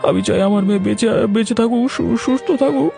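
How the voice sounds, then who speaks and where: Timid village father for a cartoon, acted by a man: very high, soft dark tone, anxious stammer, faint music. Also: quick pace, vocal fry, heavily acted. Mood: anxious pleading. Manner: stammering, hesitant. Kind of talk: Bengali cartoon voice acting.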